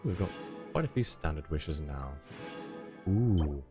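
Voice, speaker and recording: A man's voice: very deep voice, very animated, slow pace, music in the background. A man live-streaming a video game, chatting casually with viewers as he plays. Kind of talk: casual chat while playing a game for viewers.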